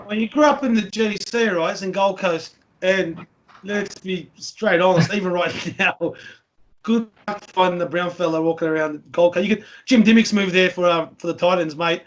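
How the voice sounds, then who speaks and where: A man's voice: sits high, moderate pace, slight background noise, rough recording. A man with an Australian accent hosting a casual podcast chat, blunt and joking, with heavy swearing. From country Victoria, Australia. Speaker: podcast host.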